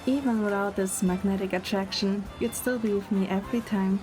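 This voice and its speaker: A woman playing a fond, gently teasing young girlfriend and film producer in a romantic game audio drama. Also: medium pitch, steady tone, fairly quick, music in the background, close to an everyday voice. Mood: reflective, tender.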